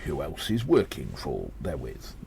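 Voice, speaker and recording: A very deep, slow-talking gnome sleuth, acted by a man, weary and grave as he ponders a conspiracy in an audio drama. He speaks in deliberate, halting phrases with sighing breaths, over faint background noise. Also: wildly swooping pitch, gravelly, heavily acted. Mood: weary, musing. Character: weary gnome investigator reporting on a spy conspiracy.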